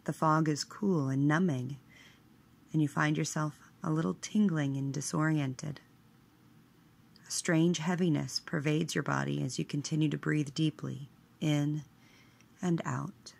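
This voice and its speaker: Woman, guided meditation narrator, husky and creaky, unhurried, hushed, measured phrasing. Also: low-pitched, steady tone.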